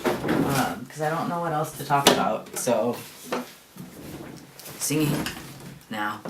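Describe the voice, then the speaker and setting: A man's voice: lowish voice, a bit bright, a little hiss or hum. Rambling young man, chatty vlog. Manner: rambling, chatty, lots of ums. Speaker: vlogger. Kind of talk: casual video diary.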